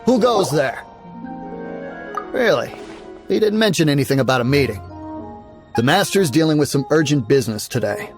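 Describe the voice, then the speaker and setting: A man's voice: on the high side, expressive, normal speed, long pauses, close to an everyday voice. A man voicing an easily cowed, formal household guard in a video game, with music behind him. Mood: wary, official. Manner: stammering, apologetic, formal. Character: nervous servile male guard, easily cowed.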